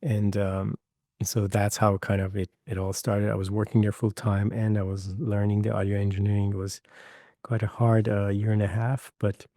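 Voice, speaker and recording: Interview guest, forties, from Iran; dark, relaxed voice, halting delivery with frequent fillers. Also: male voice, lowish voice, no echo, studio quality. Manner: hesitant, many fillers and restarts, warm laughs. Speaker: guest, Foley mixer. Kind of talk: casual interview conversation.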